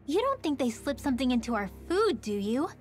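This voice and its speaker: A woman's voice: sits high, very animated, a little fast. An actress playing a wary, spirited young female adventurer in a video game, casual and questioning. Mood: suspicious. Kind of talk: video game cutscene acting. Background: music.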